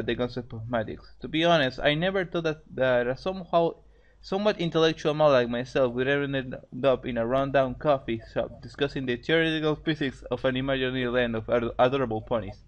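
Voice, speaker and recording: A man's voice: mid-range voice, fast, dull audio. A man narrating fan fiction in an amateur, casual way, often stumbling over phrases and repeating them. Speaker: story narrator, co-host.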